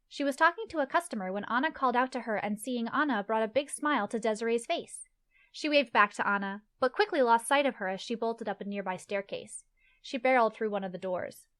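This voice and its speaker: A woman's voice: a little high, a bit bright. A woman reading scripted fantasy story narration as the narrator of an audio play.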